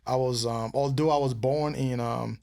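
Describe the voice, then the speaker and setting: A man's voice: mid-range voice, fairly even intonation, moderate pace, vocal fry, darkish tone. A man from Nigeria, a guest in a casual interview, speaking reflectively with frequent ums. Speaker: podcast guest.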